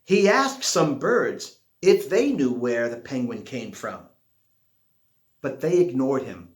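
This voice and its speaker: A man reading a children's picture book aloud expressively, with dramatic pauses and drawn-out words. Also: mid-range voice, big pitch swings. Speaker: storybook reader. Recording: echoey room.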